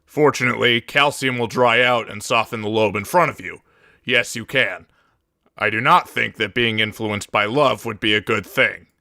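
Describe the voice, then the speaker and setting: A man's voice: medium pitch, moderate pace, vocal fry, pushed and bright, no echo, studio quality. A man voicing a brash company boss in a game parody, declaiming announcements in stilted phrases. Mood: declamatory. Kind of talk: parody game voice lines, read from garbled machine translation.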